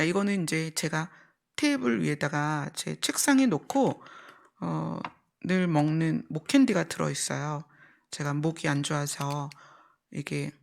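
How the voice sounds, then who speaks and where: Creaky-voiced woman preaching a sermon. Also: low-pitched. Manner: explanatory, repeats key phrases, poses questions to the listeners. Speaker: pastor preaching. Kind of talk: church sermon with teaching and illustrations.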